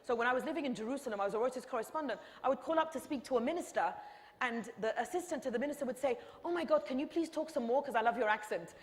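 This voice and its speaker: Woman, fired up, keeps repeating herself for punch, kinda high voice, big echoey hall. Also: racing. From Egypt. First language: Arabic. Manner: impassioned, rhetorical repetition. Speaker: author and panel speaker. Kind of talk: lecture Q&A answer. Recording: reverberant hall.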